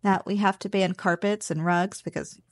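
A woman's voice: mid-range voice, little pitch movement, moderate pace, no echo, close to an everyday voice. A woman playing a playful, teasing slime girl roommate in a casual, chatty ASMR roleplay. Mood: teasing, curious. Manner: teasing, chatty, conversational with chuckles. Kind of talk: ASMR roleplay, one-sided casual conversation.

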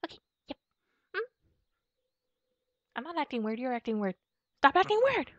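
A woman's voice: high-pitched, very animated, moderate pace, no room sound, lo-fi sound. A woman playing a playful, sneaky, easily flustered girlfriend in a silly ASMR roleplay, with an over-innocent manner. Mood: flustered, evasive. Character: sneaky, flustered girlfriend who loves candy.

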